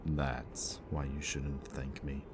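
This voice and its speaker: A man playing a bitter, remorseful villain in an audio roleplay, confessing in a low, intimate voice that sometimes drops to a whisper. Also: slow pace. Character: remorseful bitter male villain, young adult. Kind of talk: audio roleplay addressed to the listener. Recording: steady ambient background noise.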